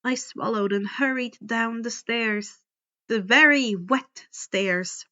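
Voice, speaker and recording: A woman reading first-person fiction aloud in a deliberate, dramatic storytelling style. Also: fairly high pitch, pushed and bright, highs cut off. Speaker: fan fiction audiobook reader. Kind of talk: expressive first-person scripted narration.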